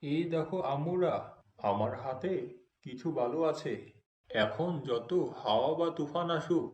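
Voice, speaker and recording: A man voicing a gentle, devout father in an Islamic cartoon, patient and didactic, in a roomy echoing recording. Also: a little high, animated, a little slow. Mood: patient, instructive. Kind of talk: Islamic cartoon voice acting, moral lesson.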